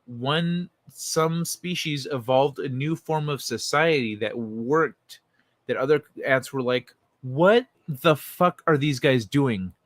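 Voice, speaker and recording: A man hosting a livestream in an informal, excitable, sweary way, talking casually and reading things aloud. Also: medium pitch, takes it slowly, dry recording. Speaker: livestream host.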